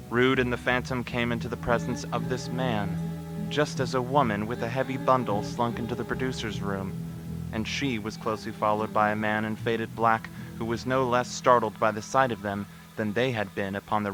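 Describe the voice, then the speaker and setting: A man's voice: fairly low pitch, poor audio. A man narrating a scripted radio play in a solemn, literary storytelling manner. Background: music.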